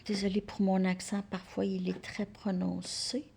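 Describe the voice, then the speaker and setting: A woman giving a casual tarot card reading. She thinks aloud, repeats words and drops into soft asides. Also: mid-range voice, flat delivery, normal speed, vocal fry, a little hiss or hum.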